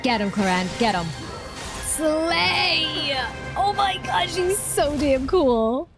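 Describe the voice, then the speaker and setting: A woman's voice: high-pitched, expressive, slow. A woman streamer giving casual, gushing, slangy live reactions to a game. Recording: game music behind the voice.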